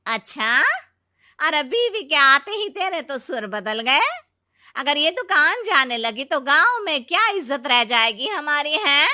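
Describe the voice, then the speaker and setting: A woman acts out a domineering village mother-in-law in a cartoon drama. Her voice is high and very sharp, and she scolds at a slow, drawn-out pace with heavy sarcasm. The recording is close and dry. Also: very animated, no echo, full character voice. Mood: indignant. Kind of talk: Hindi cartoon story dubbing.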